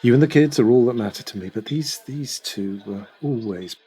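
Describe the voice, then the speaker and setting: An actor playing a middle-aged schemer and adulterer in an audio drama, self-assured and measured. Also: male voice, medium pitch, mellow, a little hiss or hum, natural voice. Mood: fond, scheming. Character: smooth-talking calculating middle-aged adulterer and sponger.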